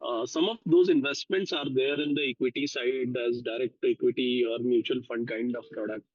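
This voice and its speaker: Male caller, medium pitch, putting investor questions off the cuff, dull dry phone-conference sound. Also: fairly even intonation, moderate pace, no echo. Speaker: caller asking questions on an earnings call.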